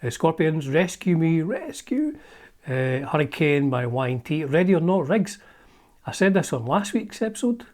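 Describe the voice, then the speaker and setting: A man's voice: a little high, big pitch swings, moderate pace, a little mellow. Chatty Scottish man rambling over old magazines. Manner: rambling and chatty, with frequent ums and restarts. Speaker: host. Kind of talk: casual chat, leafing through an old magazine.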